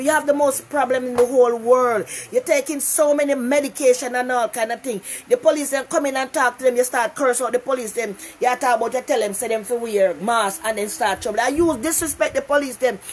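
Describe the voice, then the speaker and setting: A woman's voice: fairly high pitch, a little fast, hardly any pauses, slight background noise. An older Jamaican woman hosting a casual live-stream talk show, chatting animatedly with viewers from her living room and repeating herself for emphasis. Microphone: phone camera close up.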